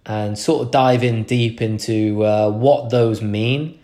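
A man's voice: fairly low pitch, steady tone, slow, dark tone. A young man hosting a podcast, talking through a casual motivational monologue in an earnest, rambling way with frequent restarts. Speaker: podcast host.

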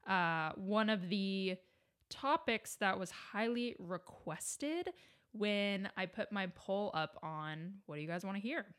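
A woman's voice: mid-range voice, a bit bright. A young woman hosting a casual solo podcast, chatty and full of "like" and "right?", sounding a little congested from a cold. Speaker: podcast host.

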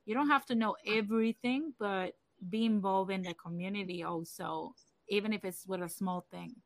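A woman's voice: medium pitch, normal speed. A woman from Puerto Rico hosting a casual livestream chat, talking in a chatty way with many fillers. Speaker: livestream host.

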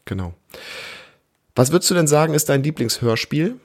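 A man around his thirties or forties hosting a casual family podcast, explaining things and gently prompting with questions. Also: on the high side, sing-song, moderate pace. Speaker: podcast host, father interviewing his child.

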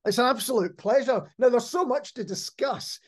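A man's voice: very high-pitched, moderate pace. A man hosting a podcast, interviewing a guest in a conversational style. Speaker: podcast host.

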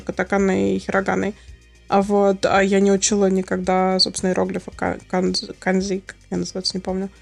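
A woman's voice: medium pitch, creaky, darkish tone. A woman guest on a podcast, chatting casually with many fillers and frequent laughs. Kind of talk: casual chat.

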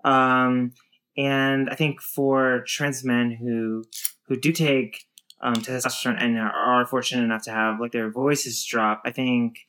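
A woman in her thirties with a low voice, talking casually and thoughtfully with many fillers and restarts. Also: little pitch movement, measured pace, fairly bright tone. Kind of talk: casual conversation.

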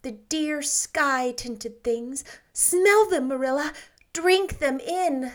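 A woman reading aloud and voicing an effusive, dreamy young woman in a lilting, gushing, high voice. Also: slow.

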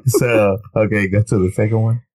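A man's voice: lowish voice, steady tone, moderate pace, a little mellow, no echo. A man co-hosting a podcast in casual chat, laughing often and restarting his sentences as he reads items aloud. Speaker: podcast co-host.

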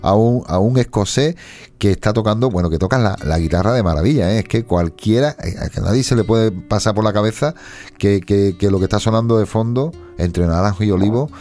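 A man hosting a casual interview, chatty and quick to joke and laugh. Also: on the low side, warm tone. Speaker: radio host. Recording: faint guitar music behind.

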